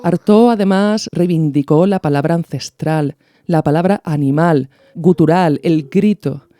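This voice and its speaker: A woman hosting a podcast with scripted, didactic narration in measured phrases. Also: deep voice, mellow. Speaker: podcast host.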